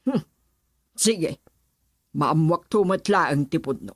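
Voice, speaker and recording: An actress playing a stern, demanding middle-aged aunt in a radio drama. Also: female voice, deep voice, expressive, slow pace, no echo, studio quality, heavily acted.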